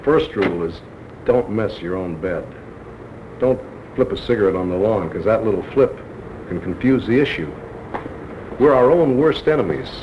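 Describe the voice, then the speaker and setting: A man's voice: lowish voice, animated, normal speed, pauses often. A blunt man lectures like a police instructor on a noisy 1970s film soundtrack. His phrasing is clipped and punchy, with a wry edge. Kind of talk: instructional monologue.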